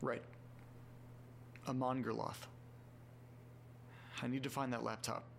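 A man playing a muddled, self-deprecating guy muttering sweary, halting asides to himself in a horror audio drama. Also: mid-range voice, level tone, creaky. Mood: weary, self-berating. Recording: noticeable background noise with hum.

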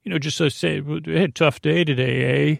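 A man with a creaky voice hosting a bedtime podcast, meandering haltingly and with gentle silliness through a monologue meant to lull listeners to sleep. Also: fairly high pitch, fairly even intonation, no echo, studio quality. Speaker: podcast host.

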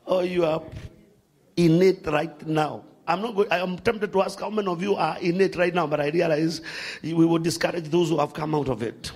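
A man preaching a sermon to a congregation, animated, swinging from shouts to hushed asides. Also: on the high side, moderate pace.

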